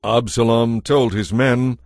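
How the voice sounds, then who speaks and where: A man's voice: medium pitch, steady tone, takes it slowly, no room sound, studio quality. A man giving a solemn, scripted dramatic narration of scripture as storyteller.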